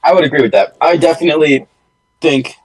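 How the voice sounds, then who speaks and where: A man's voice: on the high side, fairly quick, rough recording. A young American man chatting casually as a podcast co-host, with an informal, slangy, bro-ish way of talking. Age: young adult. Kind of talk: casual chat.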